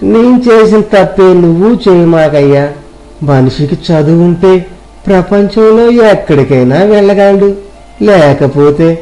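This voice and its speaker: A man's voice: sits high, mellow, rough recording. A man voicing an elderly village beggar father in a moral story, humble and regretful, with a pleading, fatherly manner. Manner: pleading, fatherly, rustic address.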